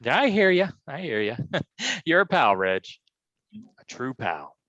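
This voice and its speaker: A man acts out a cocky hardboiled gumshoe for a stage play, with a bright, forceful voice that swings widely in pitch. His talk is slangy and folksy, full of brash loyalty. The sound is roomy and slightly muffled. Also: huge pitch swings, slow pace, heavily acted. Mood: determined, wry. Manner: slangy, folksy tough-guy talk peppered with "huh?". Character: wisecracking, stubborn male private detective, loyal and brash. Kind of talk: hardboiled detective stage acting.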